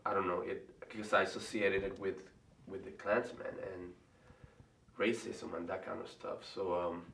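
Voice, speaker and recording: A man's voice: deep voice, little pitch movement, moderate pace, gravelly, a bit bright. Man, Venezuelan Spanish accent, Spanish native, middle-aged, hesitant, faint hiss. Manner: thoughtful, hesitant, many ums and restarts. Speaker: artist and college faculty member. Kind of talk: interview answers. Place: room in front of a large painting. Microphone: far camera. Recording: echoey room from far off, faint hiss.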